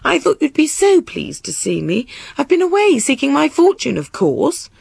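A reader doing a breezy, high-pitched queen. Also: wildly swooping pitch, normal speed, gravelly, darkish tone, no room sound, heavily acted. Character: breezy, self-satisfied queen, airy and unapologetic wife. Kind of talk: storybook character voice.

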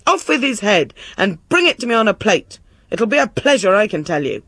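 A reader voicing an irascible, bossy old king in a storybook, shouting indignantly and emphatically. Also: mid-range voice, sing-song, brisk, creaky, breathy edge, no room sound, exaggerated voice. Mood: vengeful. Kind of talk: storybook character voice.

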